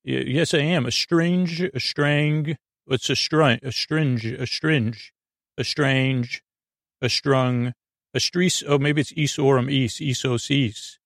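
A man hosting a sleep podcast, rambling in a meandering, stammering monologue with frequent digressions and a gravelly, creaky voice. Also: medium pitch, fairly even intonation, slow, fairly bright tone, no echo, clean studio sound. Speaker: podcast host.

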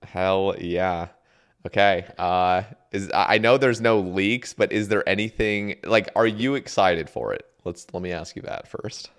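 A man with a very low voice hosts a podcast. He sounds croaky, as if he just woke up. He chats loosely, full of fillers and restarts. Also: unhurried. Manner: relaxed, rambling with fillers and restarts. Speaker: podcast host. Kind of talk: casual chat.

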